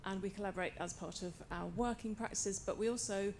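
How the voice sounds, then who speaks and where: A woman academic speaking from the audience of a panel event in an articulate, thoughtful, measured way. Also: mid-range voice, fairly even intonation, noisy background. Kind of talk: panel discussion remarks. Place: lecture hall, in the audience.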